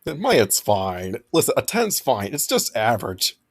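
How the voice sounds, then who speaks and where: A talkative host explaining things in a casual group chat, with a lively, wide-ranging pitch. He lectures with jokes mixed in. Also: moderate pace. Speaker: podcast host.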